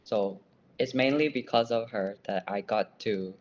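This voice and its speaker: Casting: young male student guest, Burmese accent, low voice, modest and hesitant, slightly muffled recording. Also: measured pace. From Myanmar. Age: young adult. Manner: self-deprecating, hesitant. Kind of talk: casual podcast interview.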